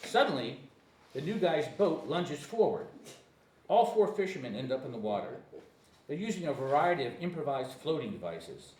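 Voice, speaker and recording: A man narrates screenplay stage directions in a lively, swinging delivery. He trips on the odd word. The room is echoey and the mic sits at a distance, with faint noise. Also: a little high, moderate pace. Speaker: narrator at a screenplay table reading.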